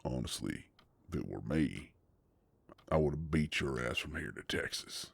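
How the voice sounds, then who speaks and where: A man playing a gruff, protective werewolf private investigator in a dramatic audio roleplay, with a gravelly voice and a slow, menacing, sardonic, folksy tough-guy delivery. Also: extremely low pitch, expressive, dry recording, exaggerated voice. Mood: growling threat.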